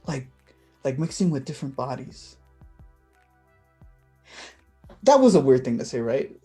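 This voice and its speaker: Man acting awkward, soft-toned, nervous young guy. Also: mid-range voice, sing-song, soft background music, natural voice. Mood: embarrassed. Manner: halting, fumbling, self-conscious. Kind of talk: staged play reading.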